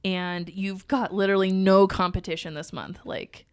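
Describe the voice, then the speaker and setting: A nasal-voiced woman in her thirties, co-hosting a podcast with a cold, talking quickly and laughing often. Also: mid-range voice, level tone, clear, resonant tone, no echo. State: has a cold. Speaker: podcast co-host. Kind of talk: casual chat.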